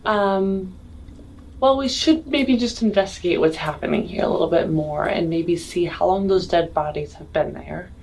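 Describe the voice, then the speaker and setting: A woman playing a tabletop roleplaying game, speaking casually in a deliberate, matter-of-fact way. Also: lowish voice, creaky, a little mellow, low-quality recording. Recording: background noise.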